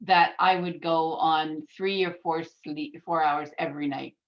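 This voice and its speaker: A woman's voice: low-pitched, fairly even intonation, measured pace. A woman making wry remarks in a casual group video call. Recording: slightly dull video call audio.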